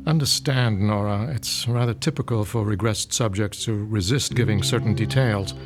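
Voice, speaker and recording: Measured, courteous male professor played by an actor. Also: lowish voice, over music, lightly acted. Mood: measured, explanatory. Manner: explanatory, slightly academic. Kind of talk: audio drama acting.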